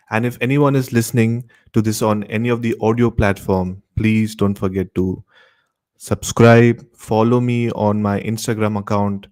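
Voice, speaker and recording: A man's voice: lowish voice, a little mellow. A man from Mumbai with an Indian accent, presenting a show to listeners as its host.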